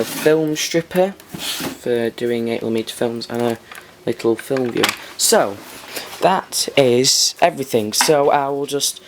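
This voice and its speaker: A British man with a medium-pitched voice presents informally, like a hobbyist showing off his gear. He speaks haltingly, thinking aloud as he goes. The home camera recording has clear background noise and clicks. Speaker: hobbyist presenter showing restored vintage tape machines. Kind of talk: informal hands-on show-and-tell.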